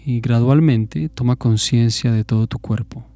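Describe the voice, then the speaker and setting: A man leading a guided mindfulness meditation in a calm, measured, instructive manner. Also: medium pitch, faint music behind.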